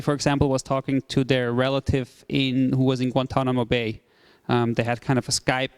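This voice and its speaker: Smooth-voiced Swiss man giving a talk. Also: medium pitch. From Switzerland. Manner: relaxed, repeats phrases, filler-heavy. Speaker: startup CEO, guest speaker. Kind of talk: casual presentation talk.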